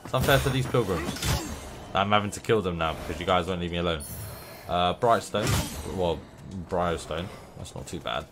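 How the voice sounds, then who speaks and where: A man's voice: low voice, expressive, normal speed, music in the background. A young man with a runny nose giving rambling, playful commentary while playing a game. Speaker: gameplay streamer. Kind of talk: casual gameplay commentary. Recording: noisy game sound behind voice.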